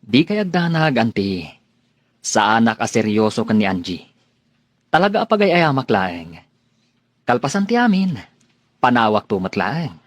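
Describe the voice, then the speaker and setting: An actor with a Filipino accent playing an evasive, reassuring young son of a rich family in a radio drama, placating and hesitant. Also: male voice, mid-range voice, big pitch swings, moderate pace, pauses often, no room sound.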